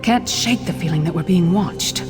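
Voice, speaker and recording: A woman's voice: fairly low pitch, moderate pace, close to an everyday voice. An actress playing a tough, loyal female warrior in a fantasy game, blunt and wry. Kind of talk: fantasy video game acting. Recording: long reverb, music behind the voice.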